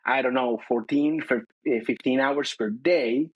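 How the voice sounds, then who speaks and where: A man from Chile with a Chilean Spanish accent, a guest telling his career story in a relaxed interview, with a lot of fillers and restarts. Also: medium pitch, a little slow, breathy edge. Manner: thoughtful, many fillers and restarts. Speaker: guest, finance director. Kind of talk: casual interview chat.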